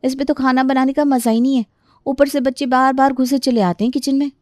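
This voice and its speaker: A woman's voice: sits high, fairly even intonation, a little fast, darkish tone, no echo, voice pushed up, close to an everyday voice. A woman puts on a young housewife for a cartoon family tale. She is chatty and grumbling, keen on what is trendy, and sounds close and dry. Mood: complaining. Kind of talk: animated Hindi family story dialogue.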